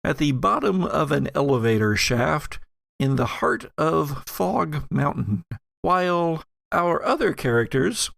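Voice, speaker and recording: A man acting as game master and podcast host, narrating casually and haltingly as he thinks aloud. His voice is mid-pitched with noticeable fry, and the recording is dry and close. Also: no room sound.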